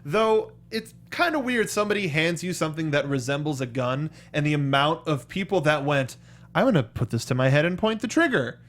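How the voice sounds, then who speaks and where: A man with a slightly high voice co-hosts a movie review in loose, conversational style. He speaks haltingly, often doubling back to restart a thought. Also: animated, a bit bright. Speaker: co-host of a movie review show. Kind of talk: casual conversational film discussion.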